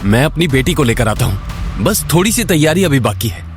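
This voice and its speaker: A man's voice: mid-range voice, big pitch swings, a little fast, faint music behind, natural voice. A man playing a nervous, evasive father of the bride who speaks hurriedly in a Hindi audio drama. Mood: flustered, evasive.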